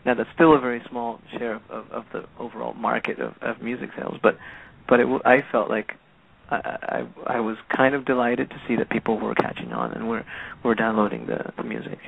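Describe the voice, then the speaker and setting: Gravelly man on a tinny phone line. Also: medium pitch, steady tone, fairly quick, a little hiss or hum. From Peru. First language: Spanish. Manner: thoughtful, repeats words as he restarts. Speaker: musician guest. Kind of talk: casual interview chat.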